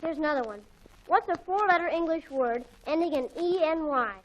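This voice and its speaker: A woman's voice: high-pitched, brisk, natural voice. A woman playing a know-it-all little brother, blunt and pedantic, in 1940s radio comedy style. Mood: deadpan, weary. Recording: dull old 1940s radio recording.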